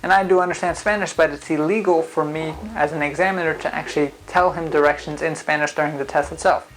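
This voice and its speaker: A man's voice: a little high, a bit bright, poor audio. A man who speaks English as a second language, explaining a driving test step by step in a casual, conversational way with frequent fillers. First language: not English. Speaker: driving school founder and instructor.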